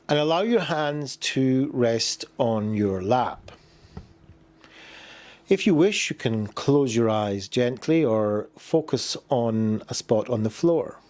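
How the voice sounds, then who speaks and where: Male meditation guide, medium pitch, gentle and unhurried, leaving space between short instructions. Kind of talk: guided body scan meditation.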